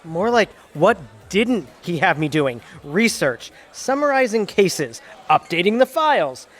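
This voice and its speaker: A sulky young junior associate in an audio play. He grumbles and whines defensively in a slow drawn-out delivery, with big swings in pitch. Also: very animated, a bit bright, full character voice. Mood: grumbling. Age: young adult. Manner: grumbling, flippant, whiny. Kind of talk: audio theatre dialogue acting.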